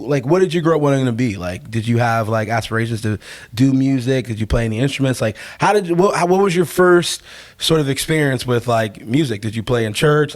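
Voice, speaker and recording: A young man hosting a casual interview, laid-back and chatty, full of "like" and "you know". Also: mid-range voice. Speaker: podcast host.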